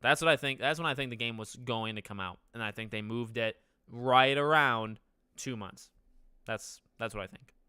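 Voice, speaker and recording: A man's voice: mid-range voice, normal speed, pushed and bright, smooth, no echo, clean studio sound. Nasal young man chatting on stream. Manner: conversational, rambling with restarts, asks chat questions. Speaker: gaming YouTuber streaming host. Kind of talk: casual live-stream chat reading comments. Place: living room with couch behind.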